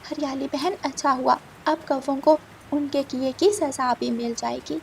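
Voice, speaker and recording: A woman voicing a calm, helpful female sparrow with a soft, composed manner in a children's cartoon. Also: sits high, unhurried, gravelly, a little hiss or hum.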